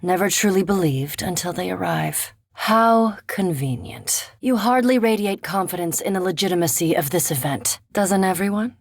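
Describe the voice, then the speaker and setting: A female vampire scholar from a fantasy video game, in a lowish, husky voice. She is cool, reserved and curious, choosing formal words with dry precision. The sound is very close and dry, with no room around it. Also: dry recording. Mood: cool, intrigued.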